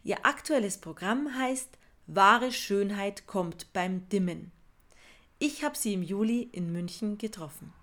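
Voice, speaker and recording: A woman hosting an interview podcast, reading a guest introduction and asking questions in a clear, measured way. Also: mid-range voice, expressive, fairly bright tone.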